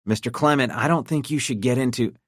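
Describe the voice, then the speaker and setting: A man's voice: mid-range voice, a little fast, a bit bright, dry recording, studio quality, pitched above the performer's own voice, natural voice. A man voicing a crude, agitated henchman who swears and grows threatening. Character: crude, distressed young male henchman, threatening.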